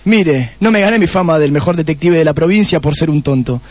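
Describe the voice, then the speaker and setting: A man's voice: a little high, no echo, close to an everyday voice. A man playing a smug, theatrical police detective with an Argentine accent in a comedic radio drama. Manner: self-satisfied, explaining with dramatic pauses.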